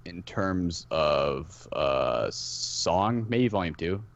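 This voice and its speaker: A man speaking as a podcast guest in casual chat, with hesitant, halting phrasing and repeated words. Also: deep voice, lively intonation, gravelly.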